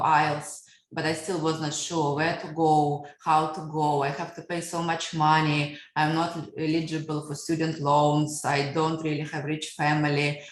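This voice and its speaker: A woman in her thirties with a Russian accent speaks low and unhurried. She answers interview questions reflectively, with plenty of fillers. The sound carries a roomy echo. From Siberia, Russia. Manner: reflective, storytelling, frequent fillers. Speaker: guest.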